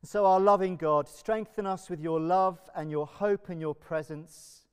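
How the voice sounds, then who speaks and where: A middle-aged man with an English accent speaking as a church minister, leading prayers and addressing a congregation in measured, solemn phrases. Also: high voice, smooth. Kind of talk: liturgical prayer and announcements to a congregation. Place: large church, indoors. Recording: reverberant church hall.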